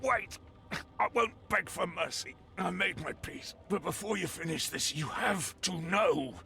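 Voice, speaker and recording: An actor playing a defeated captive fighter in a fantasy game, grave and deliberate as he earnestly gives warning. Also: high-pitched, creaky, bright, forceful tone, full character voice. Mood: resigned, urgent. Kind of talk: dramatic fantasy game acting.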